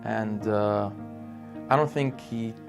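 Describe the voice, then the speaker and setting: Gravelly young man. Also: lowish voice, slow. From Brooklyn, New York. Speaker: teacher trainee. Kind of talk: testimonial interview. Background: guitar music.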